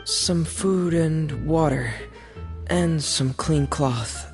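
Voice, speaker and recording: Halting, shy young man. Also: mid-range voice, darkish tone. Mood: timid. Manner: halting, hesitant. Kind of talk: game voice acting. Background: game music.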